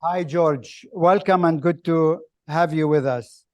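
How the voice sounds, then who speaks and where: A man hosting an interview, asking questions slowly and hesitantly with many fillers. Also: a little high, darkish tone, no echo, dull audio. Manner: slow, hesitant, many uhs. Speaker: host. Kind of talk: video call interview.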